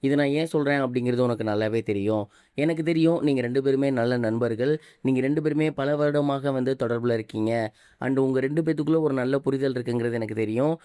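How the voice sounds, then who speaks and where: Fast-talking man, clean dry sound. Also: medium pitch, warm tone, clear, resonant tone, no room sound. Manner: code-mixes English words into his speech. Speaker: online gossip news presenter. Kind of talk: scripted news commentary.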